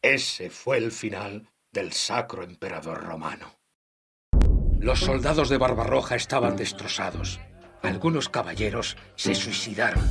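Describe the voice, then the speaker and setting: Solemn old nobleman narrator, music behind. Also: lowish voice. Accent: Castilian Spanish. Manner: measured, solemn storytelling. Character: old exiled German nobleman recounting history, wry and grave. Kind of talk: dramatic scripted narration of a history campaign.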